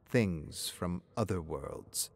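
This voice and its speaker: A man's voice: low-pitched, animated, drawn-out words, creaky, pitched below the performer's own voice, full character voice. A man voicing an anxious, grieving middle-aged woman in a story, speaking in a hesitant, wistful way. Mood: uneasy, hushed.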